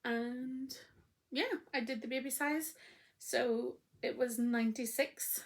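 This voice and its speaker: A woman hosting a casual crafting podcast, her voice still croaky after illness, talking in a chatty, rambling way with frequent ums. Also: a little high, steady tone, takes it slowly. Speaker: knitting podcast host.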